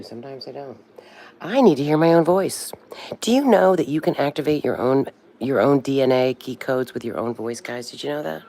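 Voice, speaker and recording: A woman's voice: very deep voice, vocal fry, darkish tone. A woman in her sixties hosting a casual livestream from home, chatting loosely and breaking into bits of birthday songs. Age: 60s. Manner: chatty, sings snatches of birthday songs to herself. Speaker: livestream host. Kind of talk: rambling casual livestream chat.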